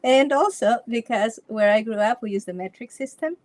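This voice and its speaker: Ecuadorian woman chatting in an interview, restarts a lot, laughs softly between thoughts. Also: a little high, expressive, moderate pace. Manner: storytelling with frequent restarts and light laughs. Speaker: Feng Shui consultant, interview guest. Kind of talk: casual interview chat.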